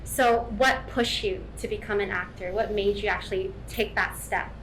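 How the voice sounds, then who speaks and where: A woman's voice: mid-range voice, fairly even intonation, normal speed, fairly bright tone, a little hiss or hum, rough recording. A woman interviewing a guest, asking questions and encouraging him with short acknowledgements.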